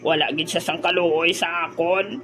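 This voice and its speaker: A man's voice: on the high side, steady tone, moderate pace, pushed and bright, slight background noise. A man in a comedic audio drama playing a muddled, homesick simpleton who halts, stutters and repeats words. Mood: wistful, muddled.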